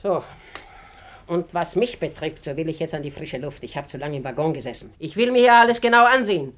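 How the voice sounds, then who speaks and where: A woman's voice: sits low, animated, fairly quick. A woman playing a blunt, imperious old Russian aunt in a German radio drama, commanding and mocking, often repeating her words. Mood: gruffly affectionate. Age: older.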